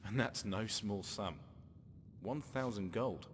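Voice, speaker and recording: Game rogue: low, animated, wry scheming slaver-hunter in a reverberant space. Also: male voice, takes it slowly, a little hiss or hum. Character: sly male slaver-hunter rescuing his lover. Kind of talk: game character dialogue.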